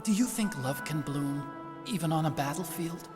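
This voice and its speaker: A nerdy young male engineer from a video game , acted by a man. He sounds boyish, earnest and timid, with a hesitant delivery. Loud music plays under his voice. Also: on the high side, lively intonation, moderate pace, big-room sound, natural voice. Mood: shy, earnest. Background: loud cinematic music.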